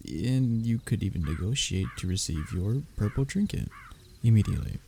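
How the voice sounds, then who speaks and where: Man playing a flustered, low-voiced young royal heir. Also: lively intonation, normal speed, vocal fry, dark tone, slight background noise. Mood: persuasive. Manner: pompous formal wording that falters into nervous stammering. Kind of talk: roleplay audio drama, addressed to the listener.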